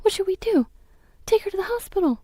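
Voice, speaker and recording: Woman voicing an anxious, high-pitched young schoolgirl. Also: expressive, fast, dark tone, no room sound. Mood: panicked, pleading. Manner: urgent, earnest, pleading. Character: anxious, caring young schoolgirl daughter, pleading and scolding.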